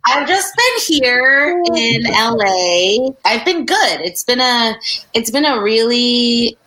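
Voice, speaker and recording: A woman guest musician speaking in a relaxed, reflective and playful way. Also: fairly high pitch, slow pace, slight background noise, rough recording. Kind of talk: casual conversation.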